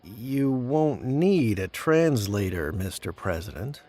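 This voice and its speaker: Man acting dry, exasperated presidential chief of staff, unhurried. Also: mid-range voice, sing-song, darkish tone. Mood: patient explaining. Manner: dry, formal, patient. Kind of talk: comedy audio drama acting.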